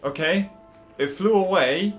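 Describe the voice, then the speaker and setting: A man's voice: high-pitched, a little slow, faint music behind. A young man giving casual, chatty gameplay commentary, playfully reacting aloud as he goes. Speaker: gaming video creator.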